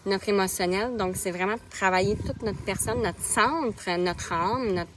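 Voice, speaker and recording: A woman's voice: medium pitch, unhurried, noisy background. Chatty Québécois woman in her thirties. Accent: Québécois French. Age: 30s. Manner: casual, chatty, greets viewers by name mid-sentence. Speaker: nutrition coach. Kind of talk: live stream chat with viewers.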